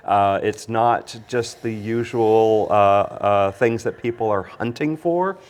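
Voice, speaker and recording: A man's voice: fairly low pitch, slow pace, smooth. A man from Canada hosting a casual whiskey chat, introducing his guests in a chatty way. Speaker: host. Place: indoors at a teaching academy.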